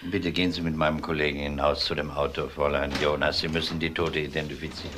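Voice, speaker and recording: A man's voice: very deep voice, level tone, fast, background noise. A man playing a composed police detective in a crime radio drama, questioning politely and methodically. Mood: matter-of-fact, probing. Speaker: actor.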